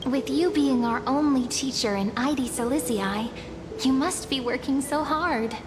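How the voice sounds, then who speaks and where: A sweet young village girl with a fairly high pitch and a gently teasing manner. Her fantasy game lines carry a long reverb, with music behind. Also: a little slow. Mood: gentle, teasing.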